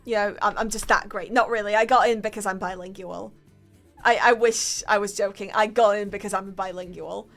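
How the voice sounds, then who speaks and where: A woman's voice: mid-range voice, fairly even intonation, fairly quick, a bit bright, soft background music. A young woman from Poland, a native Polish speaker, telling a story to her stream chat in a giggly, self-mocking way.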